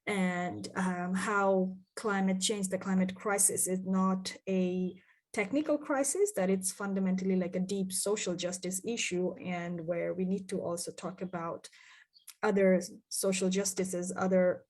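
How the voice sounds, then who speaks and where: A woman's voice: medium pitch, steady tone, a little slow, a little mellow. A young woman from Bangladesh, a climate activist, answering interview questions thoughtfully with many fillers and restarts.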